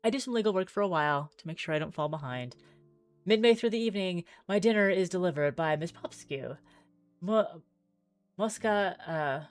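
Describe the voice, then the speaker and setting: A woman's voice: fairly low pitch, no room sound. A woman streaming a visual novel, reading the text aloud theatrically with wry, exclaiming asides.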